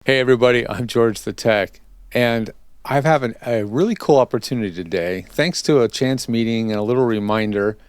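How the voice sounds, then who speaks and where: A man's voice: medium pitch, a little fast, smooth, no echo, studio quality. A man hosting an interview in a conversational, encouraging way. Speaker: podcast interview host.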